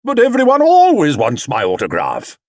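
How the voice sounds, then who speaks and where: A man playing a pompous, booming grand stage actor who speaks dramatically and gruffly in a storybook reading. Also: huge pitch swings, unhurried, fairly bright tone, dry recording, clean studio sound, exaggerated voice. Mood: theatrical.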